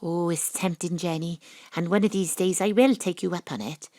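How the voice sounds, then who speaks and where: A woman playing a cool, wry private detective in an audio drama, narrating and talking in a measured, theatrical way. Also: lowish voice, steady tone, warm tone, dry recording, close to an everyday voice. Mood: fond, composed. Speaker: lead actor and narrator.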